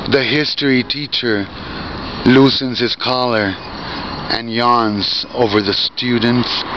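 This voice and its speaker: A middle-aged man giving a dramatic poetry reading in deliberate, theatrical, separated phrases, recorded outdoors on a handheld camera close to his face. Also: mid-range voice. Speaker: poet and performance artist. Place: outdoors by bare trees. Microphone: camera held very close at arm's length. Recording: dull lo-fi outdoor recording, loud ambient noise.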